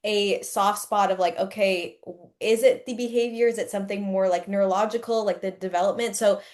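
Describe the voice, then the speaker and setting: A woman's voice: mid-range voice, steady tone, brisk, a little mellow. A woman hosting a podcast, opening the episode and asking questions in a casual, conversational way, often using "like" as a filler. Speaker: podcast host.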